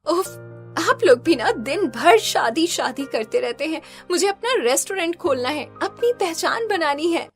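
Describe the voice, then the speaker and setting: A woman plays a determined young heroine in a cartoon, with a fairly high voice. She speaks earnestly, protesting and holding her ground, over clear background music. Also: animated, measured pace. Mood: exasperated.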